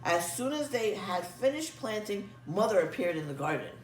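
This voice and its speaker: Female storybook reader, animated delivery for children, roomy sound set back from the mic. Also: medium pitch, moderate pace. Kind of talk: expressive children's story read-aloud. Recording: echoey room, distant mic, music behind.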